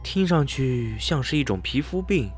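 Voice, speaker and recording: A man's voice: mid-range voice, animated, normal speed, slightly rough. A curious, level-headed male traveler in a radio drama, played by a man. His mid-range voice is polite and analytical, as if weighing each rumor. Faint music sits behind the dialogue. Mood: analytical, inquiring.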